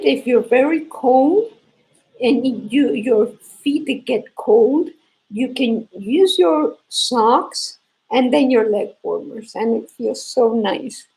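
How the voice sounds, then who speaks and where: A woman with a sore, congested throat leads a live knitting lesson. Her voice is high-ish, gravelly and husky. She talks very slowly and repeats herself as she explains each stitch. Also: lively intonation, darkish tone, rough recording. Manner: slow, repetitive explaining with restarts. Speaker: knitting teacher hosting a live stream.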